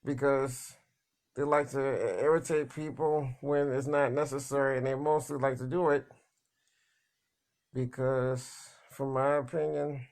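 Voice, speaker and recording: A man talking in a casual, rambling monologue, halting and repeating words, blunt and plain-spoken. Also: mid-range voice, steady tone, darkish tone. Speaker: vlogger.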